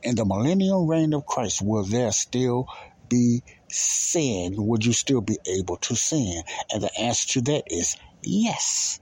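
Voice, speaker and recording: A man's voice: mid-range voice, very animated, measured pace, darkish tone, slightly rough. A man teaching the Bible in an informal sermon, emphatic and repetitive, often asking questions and answering them himself.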